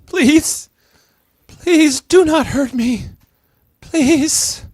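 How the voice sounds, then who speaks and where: Sci-fi radio play: polite male android flooded by emotion, played by a woman. High, slow delivery, dry close sound. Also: a little mellow, no room sound. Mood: frightened pleading. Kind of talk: live script read, sci-fi radio theatre.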